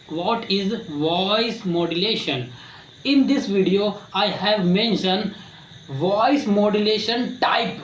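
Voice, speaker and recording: Man, high pitch, loose repetitive explainer style, faint room noise behind him. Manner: rambling, repetitive, many restarts. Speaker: YouTube creator teaching voice skills. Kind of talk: casual explainer talk. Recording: roomy, slightly dull with faint ambience.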